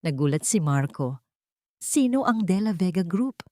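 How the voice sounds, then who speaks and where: A woman with a fairly low voice narrates a dramatic story from a script. The sound is dry and close, with little room around it. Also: expressive, measured pace, darkish tone, no echo.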